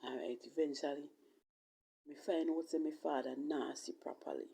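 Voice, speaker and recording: A woman with a Jamaican accent telling a personal story casually in patois, in a halting, emphatic way that repeats phrases. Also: low voice, steady tone, gravelly, dark tone, slightly rough. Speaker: vlogger telling own life story.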